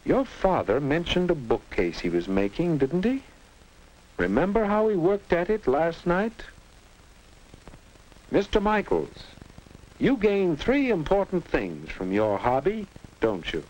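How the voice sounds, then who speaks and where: Lively, didactic American educational film narrator. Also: male voice, on the high side. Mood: guiding. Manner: didactic, measured, reassuring. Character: patient, instructive male narrator guiding a teenager. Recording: noisy 1950 film soundtrack.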